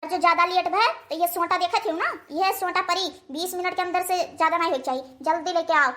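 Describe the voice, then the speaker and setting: Bossy old Awadhi matriarch played by a woman, very high bright voice, hectoring cartoon scold. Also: a little fast. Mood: domineering. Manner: hectoring, repeating tags as threats. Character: bossy, scolding old village mother-in-law. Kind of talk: cartoon dialogue.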